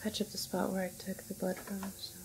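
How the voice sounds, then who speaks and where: A woman doing an ASMR roleplay as a calm, apologetic doctor, speaking in whispers and hushed, halting explanations. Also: mid-range voice, gravelly, over music, low-quality recording. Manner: hesitant, halting, gentle clinical explaining. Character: calm, apologetic female doctor in a quarantine facility. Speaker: ASMR performer playing a doctor.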